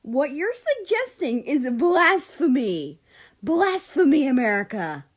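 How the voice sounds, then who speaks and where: A woman performs a small, fiery man in an audio story, indignant and emphatic. The voice rides high and punches out drawn, deliberate words. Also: very animated, exaggerated voice. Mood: outraged. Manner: swearing, outraged, emphatic. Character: small, hot-tempered, indignant male Mexico.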